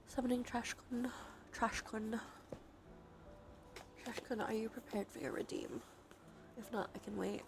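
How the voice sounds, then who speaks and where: A sniffly woman with a sore throat chatting softly on a cozy ASMR livestream, often dropping into whispers. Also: on the high side, steady tone, unhurried, long pauses, over music.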